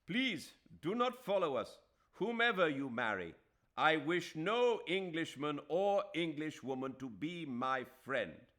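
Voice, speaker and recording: Guy reading as a hurt proud man blowing up, loud raised voice, lively swings. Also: on the high side, slow, voice pushed up, heavily acted. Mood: cold resolve. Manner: impassioned, bitter declarations. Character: proud, wounded Indian man, furious.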